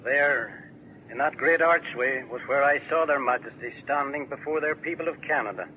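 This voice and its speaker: Actor as an aging country editor, reminiscent and gentle, thin 1940s radio broadcast. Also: male voice, mid-range voice, normal speed, slight background noise, lightly acted. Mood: wistful. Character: elderly male small-town newspaper editor, wistful. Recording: tinny old radio broadcast.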